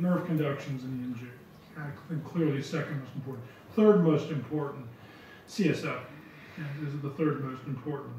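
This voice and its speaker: A middle-aged man giving relaxed answers at a lecture hall podium, repeating words as he thinks. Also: on the high side. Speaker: visiting lecturer answering questions. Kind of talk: conference Q&A answers. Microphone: podium mic from far. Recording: echoey hall, distant dull sound, faint hiss.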